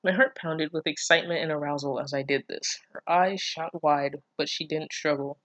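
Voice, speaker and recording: A person reading fan fiction aloud casually, breaking off for expressive, incredulous asides full of swearing. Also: mid-range voice, normal speed, dry recording, low-quality recording. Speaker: online reader reacting to fan fiction. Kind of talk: casual read-aloud with running commentary.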